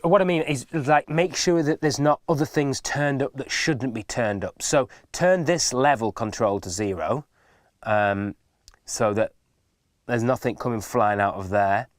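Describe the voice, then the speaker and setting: A man's voice: mid-range voice, no room sound. Man giving a casual tutorial, dry sound. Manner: informal, patient step-by-step explaining. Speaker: instructor. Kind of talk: casual spoken hands-on tutorial.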